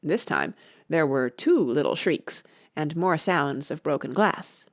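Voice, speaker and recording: A woman's voice: low voice, expressive, slow, no room sound, studio quality. A woman narrating a children's storybook expressively as an audiobook reader.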